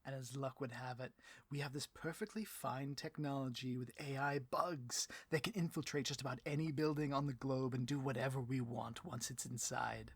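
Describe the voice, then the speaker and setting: A man's voice: medium pitch, fairly even intonation, moderate pace, husky, no room sound. A man playing a bloviating, petty supervillain boss in a comedic audio roleplay, with a pompous, melodramatic delivery and a taste for rants. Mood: scheming, smug.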